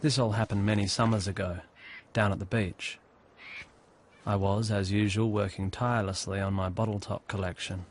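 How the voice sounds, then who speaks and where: A man narrating an animated short film as a laid-back young man, recalling the past in an understated, conversational, wry way. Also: on the low side, moderate pace, a little hiss or hum, natural voice.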